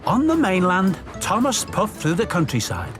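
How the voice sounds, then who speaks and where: Guy doing a kids storytime show, super animated, asks the viewers stuff, music under it. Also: high-pitched, normal speed. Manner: addresses young viewers directly with questions and simple instructions. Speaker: children's storytime presenter and narrator. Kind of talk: scripted children's storytelling and craft narration.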